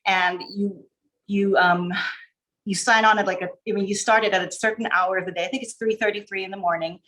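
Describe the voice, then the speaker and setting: Woman chatting on a call, average pitch, rambly explaining with lots of asides. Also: fairly even intonation, fairly quick, a bit bright, rough recording. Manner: informal, rambling with many asides. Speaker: short story writer, podcast guest. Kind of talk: casual chat, explaining. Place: online video call.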